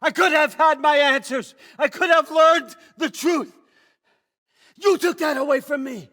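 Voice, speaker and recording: A man's voice: very high voice, measured pace, pushed and bright, exaggerated voice. An older American man acting in a video game as a scheming, controlling old father god, wry, folksy and conversational as he manipulates. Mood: raging, anguished.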